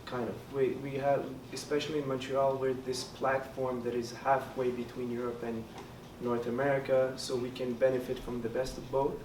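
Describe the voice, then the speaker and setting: A man's voice: medium pitch, flat delivery, normal speed, hardly any pauses. A man whose first language is French, a student election candidate giving earnest, hesitant answers at a debate. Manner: earnest, hesitant with many fillers. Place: lounge hall during a debate. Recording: roomy, distant mic, background noise.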